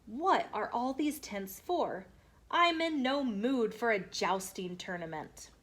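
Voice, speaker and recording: A man's voice: extremely high pitch, animated, slow pace, pushed and bright, heavily acted. A woman voicing a grumpy, weary medieval king in a storybook, muttering his complaints.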